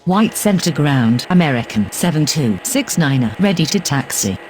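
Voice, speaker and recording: A man's voice: on the high side, big pitch swings, moderate pace, poor audio. A man playing a businesslike air traffic radio operator in a game, giving formal, scripted calls. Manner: formal, procedural. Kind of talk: clipped, scripted radio phraseology.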